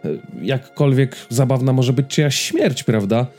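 A man talks in a soft, dark, relaxed voice of average pitch. He reviews casually, feeling for the next word and seeking agreement as he goes. Faint music plays underneath. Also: a little slow. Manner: hesitant, searching for words, checking in with listener. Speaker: podcast co-host reviewing films. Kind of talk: casual conversational film review.

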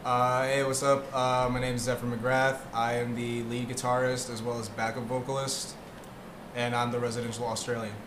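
A man in his late twenties rambles casually, stacking up "like"s. His voice bounces around an echoey room, and a clear noise sits behind it. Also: mid-range voice, flat delivery, normal speed. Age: late 20s. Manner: filler-heavy, talks in many "like"s. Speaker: lead guitarist. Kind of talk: casual interview chat. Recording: roomy echo with clear background noise.

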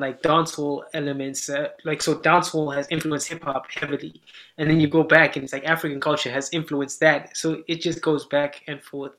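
A man's voice: fairly high pitch, a bit bright. A man from Zimbabwe, a musician guest on a podcast, talking in an easygoing, friendly way and laughing often. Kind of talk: casual interview chat.

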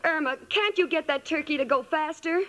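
An actress playing a sensible, exasperated young woman in an old-time radio comedy, wry and brisk in delivery. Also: sits high, fairly bright tone. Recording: old radio recording, faint noise.

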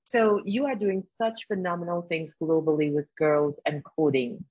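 A woman's voice: low-pitched, steady tone, normal speed, slightly rough, no room sound. A woman hosting a leadership podcast, opening with a polished, scripted introduction. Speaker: podcast host. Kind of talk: podcast interview. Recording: dry, dull lo-fi audio.

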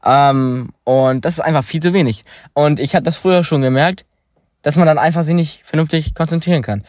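A man's voice: on the high side, brisk, a little mellow, dry recording. Teen guy, hesitant and rambling, plenty of fillers, dry mic. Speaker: podcast co-host. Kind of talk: casual chat.

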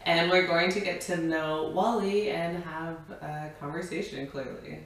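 A woman's voice: lowish voice, unhurried. A woman co-hosting a podcast, leading a casual interview with upbeat, probing questions. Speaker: podcast co-host. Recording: very echoey room with background music.

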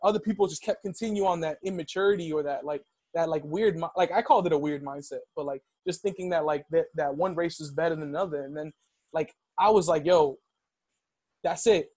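A man's voice: on the high side, a little fast, dry recording. A young man of Dominican background telling a personal story in casual conversation, full of "like" and "yo.". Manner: animated storytelling, heavy use of "like".

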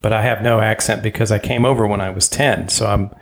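A man's voice: lowish voice, steady tone, fast talker. A man born in Germany, a native German speaker, interviewing a guest and often rambling and restarting his sentences. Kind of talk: casual interview conversation.

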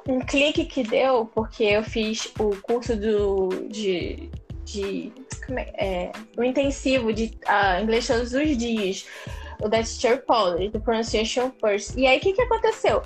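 Lively high-voiced Brazilian woman. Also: slow pace, faint music behind. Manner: animated, laughing, many restarts. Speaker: interview guest, English learner. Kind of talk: casual live interview chat.

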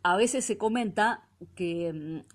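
A woman's voice: mid-range voice, slow. A woman radio host with an Argentine accent, asking conversational, curious questions. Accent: Argentine Spanish. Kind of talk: radio interview.

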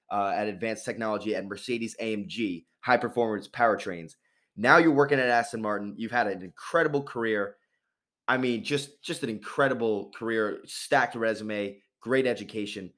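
A man's voice: medium pitch, a bit bright. A man hosting a sports radio interview, enthusiastic and effusive, often repeating his praise and chatting casually.

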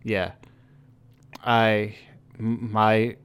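A man's voice: fairly low pitch, little pitch movement, very slow, a bit bright, smooth. A young man hosting a podcast, chatting casually over a call and asking loose, rambling questions. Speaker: podcast host.

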